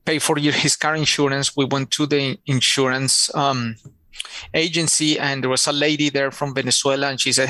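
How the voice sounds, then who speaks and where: A man's voice: mid-range voice, pushed and bright, no echo, poor audio. Colombian guy on a podcast, middle aged, earnest, dry close sound, spanish first language. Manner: earnest, repeats words as he searches for them. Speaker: podcast guest. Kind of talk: relaxed storytelling interview.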